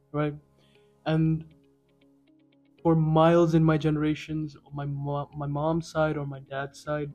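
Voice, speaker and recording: A man's voice: a little high, warm tone. A young adult man from Kuwait, a podcast guest who talks in a playful, joking way. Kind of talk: casual podcast chat.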